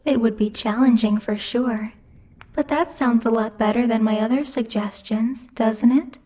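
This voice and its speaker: A woman's voice: fairly high pitch, steady tone, measured pace, mellow. A woman voicing a bossy, teasing female demon who rules hell, speaking in a haughty, playfully menacing ASMR roleplay style. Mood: persuasive, earnest. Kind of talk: ASMR roleplay, intimate scripted monologue to the listener.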